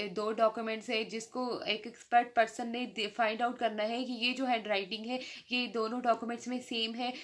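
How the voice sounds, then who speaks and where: A woman with a Pakistani accent and a slightly high voice teaches as a lecturer. Her delivery is informal and explanatory, moving between reading formal terms and putting them in plain words. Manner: explanatory, slows down to read out English legal terms. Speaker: law lecturer. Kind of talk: informal lecture explaining legal articles, mixing Urdu and English.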